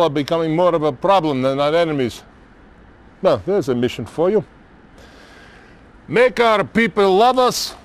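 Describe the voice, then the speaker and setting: An older male actor playing a weary, sardonic Soviet general in a game cutscene, with a Russian accent and theatrical, deliberate phrasing. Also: fairly high pitch. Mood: grave. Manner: theatrical, deliberate, pausing between short phrases. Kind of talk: dramatic game cutscene acting.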